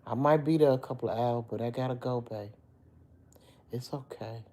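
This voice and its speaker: Middle-aged woman, low deep dark voice, slightly hoarse, chatty livestream host. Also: moderate pace. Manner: chatty, talks back to commenters by name. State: a little hoarse. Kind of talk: casual livestream chat with viewers.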